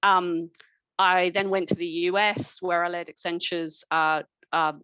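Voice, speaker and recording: A woman's voice: lowish voice, steady tone, unhurried, pushed and bright, clear, resonant tone, no room sound. A woman in her forties giving a reflective personal career talk at a mentoring event, with many ums and restarts. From New Zealand. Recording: dull lo-fi sound.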